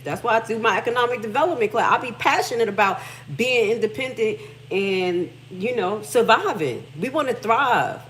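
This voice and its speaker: A woman's voice: medium pitch, a bit bright, a little hiss or hum. A middle-aged Haitian American woman hosting a livestream, talking casually and emphatically. She reads text aloud, comments on it, and swears freely. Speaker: livestream host.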